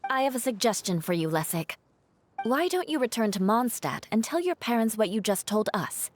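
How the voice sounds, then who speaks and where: A woman playing a firm, candid young noblewoman in a video game, composed, measured and formal. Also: a little high, very animated, a little hiss or hum. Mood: resolute, reflective. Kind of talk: video game cutscene voice acting.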